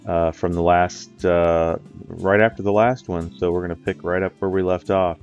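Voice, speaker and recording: A man's voice: low voice, level tone, normal speed, a little mellow, dull audio, soft background music. A man from the US, stuffy and nasal from a cold, chatting in a rambling way with lots of ums as he plays a driving game. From United States. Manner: rambling, with many ums and uhs. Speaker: let's play host. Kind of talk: casual gameplay commentary.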